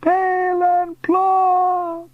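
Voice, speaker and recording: A man's voice: very high voice, completely flat delivery, very slow, no echo, narrow, tinny audio, voice pushed up, exaggerated voice. A man voicing a frantic old uncle ghost in an audiobook story, crying out short, repeated exclamations. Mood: frantic yelping.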